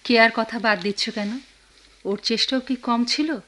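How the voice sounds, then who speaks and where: An actress with a Bangladeshi accent playing a tender, motherly married woman in a radio drama, soft-hearted and expressive. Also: a little high, measured pace. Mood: fond, approving. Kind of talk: Bengali radio drama acting.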